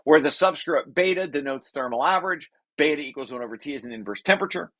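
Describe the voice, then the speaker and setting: A man's voice: fairly high pitch, animated, fast talker, a bit bright, dry recording. A man reading through a technical paper draft like a lecture, explaining as he goes with informal asides and often breaking off to rephrase.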